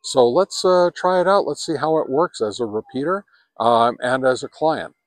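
A man's voice: mid-range voice, moderate pace, no room sound. A man in his sixties rambling casually through a hands-on ham radio review, heavy on fillers, recorded on a camera held at arm's length. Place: home radio shack, then outdoors in a park, then in a truck. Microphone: handheld camera at arm's length.

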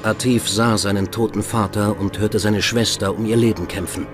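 A man's voice: fairly low pitch, fairly even intonation, moderate pace, over music. A man reading scripted documentary narration as the narrator of a true crime podcast. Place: voice-over with music behind.

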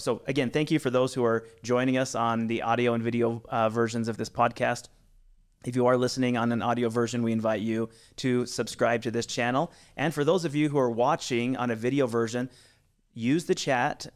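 A man in his forties hosting a podcast, upbeat and chatty with many fillers, speaking into a studio mic in a small studio. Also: medium pitch. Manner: upbeat, with frequent "uh" fillers and restarts. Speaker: podcast host. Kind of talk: casual podcast interview. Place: small studio with brick wall, indoors. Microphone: studio mic on boom arm.

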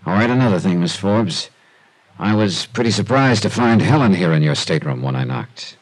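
An actor playing a tough, wry investigator, talking and narrating in 1950s radio detective style. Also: male voice, low voice, animated, moderate pace, low-quality recording. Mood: wry, probing. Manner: hard-boiled, wry, conversational. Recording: old 1950s radio recording.

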